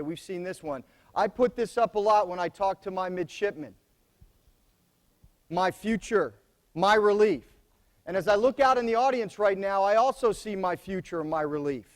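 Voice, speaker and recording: A soft-spoken middle-aged American man giving opening remarks at a conference, plainspoken and repeating key phrases for emphasis. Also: high voice, moderate pace. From United States. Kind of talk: conference speech to students.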